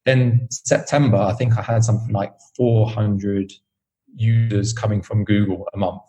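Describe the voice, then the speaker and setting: British man, low mellow voice, easygoing rambling chat guest. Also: normal speed, poor audio. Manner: relaxed, rambling, many fillers. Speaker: podcast host, interview guest. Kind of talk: casual chat.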